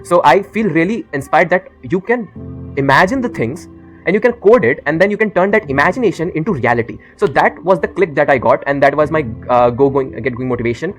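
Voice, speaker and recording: A man's voice: fairly high pitch, animated, fairly quick, soft background music. A teenage boy with an Indian English accent, a YouTuber telling his story to camera in a rambling way, full of fillers and switching into Hindi mid-sentence. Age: teens. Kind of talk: casual talk to camera.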